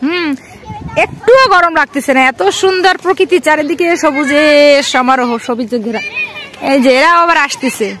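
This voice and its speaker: A woman's voice: high voice, moderate pace. A woman with a Bangladeshi accent giving casual vlog commentary outdoors and chatting to children as she goes. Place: outdoors on open grassy ground by a school. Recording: outdoor ambient noise, faint.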